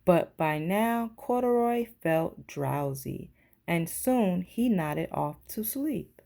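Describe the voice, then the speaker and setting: A woman narrates a children's storybook in a teacher's read-aloud style. Her voice is lowish and mellow, with a creaky edge. She reads slowly. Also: lively intonation. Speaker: teacher reading a picture book aloud.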